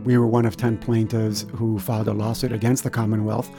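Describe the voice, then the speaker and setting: A man's voice: on the low side, level tone, fairly quick, gravelly, music in the background. A man born in Cuba telling his own story in a good-humored way for a podcast. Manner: warm, good-humored, laughs lightly. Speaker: interviewee. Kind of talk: personal storytelling.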